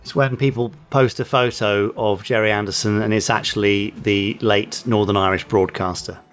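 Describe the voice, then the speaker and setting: A man's voice: lowish voice, fairly even intonation, normal speed, fairly bright tone, soft background music. A man hosting a podcast in casual, informal, bantering chat. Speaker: podcast host.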